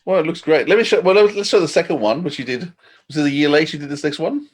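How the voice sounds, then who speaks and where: A man's voice: a little high, fast talker. A man hosting a casual interview podcast, appreciative and encouraging as he puts questions to his guest. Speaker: podcast host.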